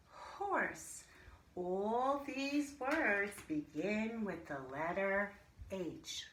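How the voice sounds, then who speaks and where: A woman teacher leading an interactive alphabet lesson for young children, speaking deliberately in a sing-song way and addressing pupils directly with pauses. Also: medium pitch, bright, forceful tone. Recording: echoey room with light background noise.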